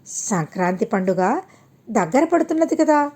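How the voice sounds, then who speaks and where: A timid, respectful grown son in a moral storybook , read by a woman. He speaks with hesitation, in a high and mellow voice. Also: big pitch swings, measured pace, full character voice.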